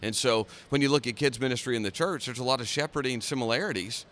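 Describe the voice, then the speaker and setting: Guy guest on a podcast, bright forceful voice, bit of venue noise behind him. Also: medium pitch, fast. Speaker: podcast guest, seminary professor. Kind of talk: casual interview chat. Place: conference center during a busy event.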